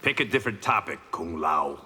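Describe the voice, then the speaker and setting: A man's voice: sits low, big pitch swings, brisk, sharp tone, in a large hall, a little hiss or hum, full character voice. An actor playing a stoic, dry-humored blind swordsman in a fighting game, terse and guarded. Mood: stern, dry. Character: stoic blind swordsman, reformed male criminal, proud and dry. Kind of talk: video game pre-fight banter.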